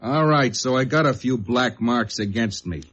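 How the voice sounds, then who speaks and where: A shady saloon keeper, voiced by a male actor with a working-class American accent. He grumbles in rough slang and dodges around his answers. It sounds like a muffled mid-century radio play. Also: medium pitch, normal speed, a bit bright, lightly acted. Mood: grumbling. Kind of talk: 1950s radio drama acting. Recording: muffled old radio recording.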